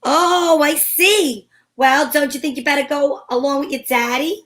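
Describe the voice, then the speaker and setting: A woman's voice: high-pitched, a little slow, a bit bright. A woman playing a wisecracking female detective, narrating her adventure in wry, slangy old-time radio style. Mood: friendly, probing.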